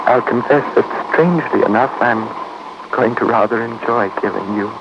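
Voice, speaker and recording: An actor playing a cunning, unstable murderer in a 1950s radio thriller, articulate, mock-polite and condescending. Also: male voice, mid-range voice, normal speed, lightly acted. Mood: smug, confiding. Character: suave, cunning, mentally unstable murderer in handcuffs, male prisoner. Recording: noisy, muffled 1950 radio recording.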